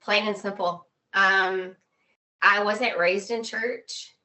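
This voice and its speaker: Bright-voiced woman speaking slowly. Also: mid-range voice, steady tone. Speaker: interview guest. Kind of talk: casual personal account.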